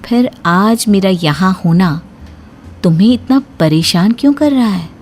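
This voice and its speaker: A woman narrator voicing a bold young wife in a story, pointed, deliberate and confrontational. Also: medium pitch, lively intonation, a little mellow. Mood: taunting. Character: bold young wife calmly taunting a guilty man. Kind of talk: dramatized story dialogue. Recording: music bed under the voice.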